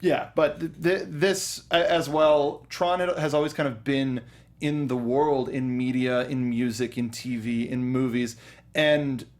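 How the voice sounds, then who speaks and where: A man's voice: medium pitch, steady tone, unhurried. A young man co-hosting a casual movie review show, enthusiastic and rambling, often restarting his sentences. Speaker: podcast co-host. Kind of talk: casual film review chat.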